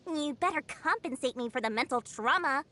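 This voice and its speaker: Very high-pitched greedy little genie girl. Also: lively intonation, moderate pace, sharp tone. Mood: smug, haughty. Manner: theatrical, wheedling salesmanship with indignant outbursts. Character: small greedy boastful girl genie merchant, cheeky and brash. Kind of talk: exaggerated anime video game voice lines.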